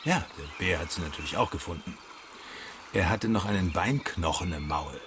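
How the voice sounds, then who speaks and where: An actor playing a wry, unflappable ex-cop in a radio crime drama, dry and deadpan, over ambient noise. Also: male voice, low-pitched, moderate pace.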